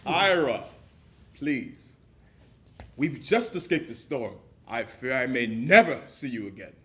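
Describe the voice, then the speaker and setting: A man's voice: medium pitch, expressive, takes it slowly, fairly bright tone. An actor playing a passionate stage actor who coaxes his scene partner and declaims verse with swelling volume. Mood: coaxing. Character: passionate male stage actor rehearsing a romantic lead. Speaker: actor in a dramatized rehearsal. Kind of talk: period drama acting, reciting verse.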